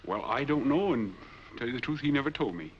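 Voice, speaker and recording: An American actor playing a polite, evasive middle-aged drifter in a 1940s film noir, with a folksy, hesitant way of talking. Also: male voice, mid-range voice, animated, a little fast, lightly acted. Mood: reminiscing. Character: plain-spoken drifter claiming money, evasive but polite, middle-aged man. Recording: dull, noisy 1940s film soundtrack.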